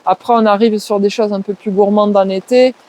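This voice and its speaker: A woman's voice: mid-range voice, steady tone, brisk, a little mellow. A woman with a southwest French accent answering interview questions, explaining things at length with many hesitations and filler words. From southwest France. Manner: hesitant, lots of "euh" and "ben", lists things off. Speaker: guest, permaculture trainer.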